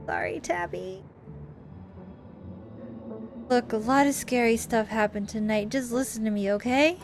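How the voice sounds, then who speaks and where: A woman's voice: a little high, a little slow, faint music behind, lightly acted. A woman voicing an earnest, stubborn young female protagonist in a visual novel game, speaking insistently. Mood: insistent, pleading.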